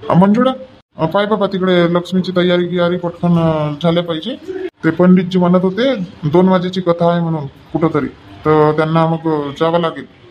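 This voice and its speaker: A man playing a brisk, bossy village husband in an animated family comedy. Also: high voice, muffled recording, a little hiss or hum, lightly acted. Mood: instructing.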